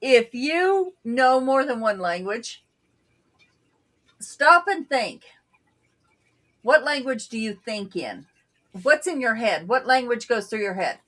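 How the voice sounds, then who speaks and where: Blunt woman, native Bulgarian speaker, fairly high voice. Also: big pitch swings, takes it slowly, pauses often, fairly bright tone. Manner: blunt, outspoken storyteller. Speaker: co-host. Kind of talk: casual chat.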